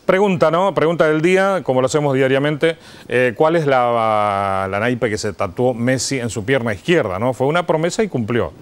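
A middle-aged Argentine man hosts a live TV program from a studio desk. His voice is clean and resonant at an average pitch, and he talks conversationally, as if chatting to viewers and guests. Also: clear, resonant tone. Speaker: TV host. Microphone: lapel mic.

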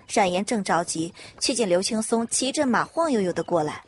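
A woman narrating a web audio novel in an even storytelling manner. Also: medium pitch, brisk, a little hiss or hum.

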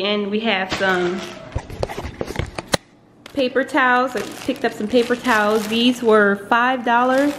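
A woman's voice: mid-range voice, slight background noise, rough recording. A young woman, a college mom, chatting casually in her kitchen as she shows off her groceries, laughing now and then. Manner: chatty, relaxed, laughs often. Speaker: vlogger, college mom of three. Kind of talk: casual grocery haul vlog. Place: home kitchen, indoors.

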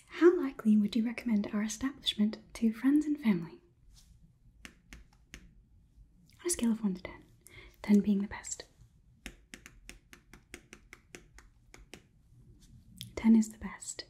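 A young woman in her twenties playing a politely condescending female robot overlord in a slow, measured, breathy ASMR whisper, close to the microphone. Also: on the high side, mellow. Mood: faintly condescending. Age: 20s. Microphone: close sensitive microphone.

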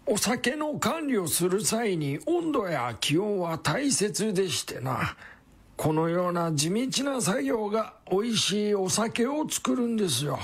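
Radio drama role: genial, chatty old distillery man, raspy, very animated intonation. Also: sits high, a little slow, exaggerated voice. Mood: gentle, modest. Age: older. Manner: old-fashioned folksy elderly speech, chatty. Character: kindly, smiling old male distillery worker. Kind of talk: Japanese radio drama acting.

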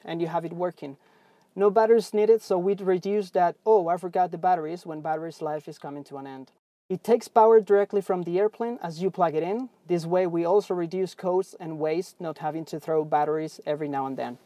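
A man's voice: sits high, dark tone, no echo. Young male pilot-host, upbeat scripted product review, recorded in a cockpit. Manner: upbeat, scripted presenter delivery. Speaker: pilot and aviation channel host. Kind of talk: product review presented to camera. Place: aircraft cockpit on the ground.

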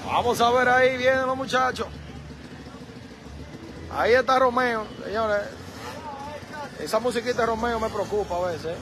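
A man with a Dominican accent giving excitable live street commentary, full of exclamations, recorded on a phone outdoors. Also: extremely high pitch, moderate pace, pauses often. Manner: excitable, frequent exclamations and laughter. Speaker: livestream street reporter. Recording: noisy street crowd livestream. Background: crowd and traffic noise.

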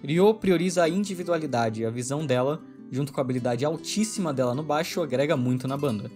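Male video essay narrator, brisk and wry, soft music bed underneath. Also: a little high. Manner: brisk, opinionated, wry delivery.

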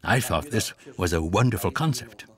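Male dub voice, documentary translation, measured and reflective, pitch moves a lot. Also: expressive, fairly bright tone. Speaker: voice-over dub of an interviewee.

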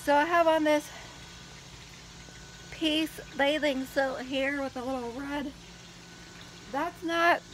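Chatty high-voiced woman vlogging by a pool. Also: slight background noise, rough recording. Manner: rambling and chatty, with many fillers. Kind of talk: casual vlog chat. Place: outdoors by a backyard pool. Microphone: phone held at arm's length.

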